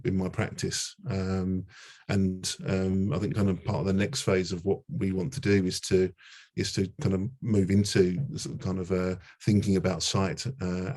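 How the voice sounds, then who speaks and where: A man's voice: sits low, a little mellow. A man presenting his art at a gallery talk, hesitant and full of fillers and restarts. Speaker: artist presenting his work. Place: gallery talk before a live audience.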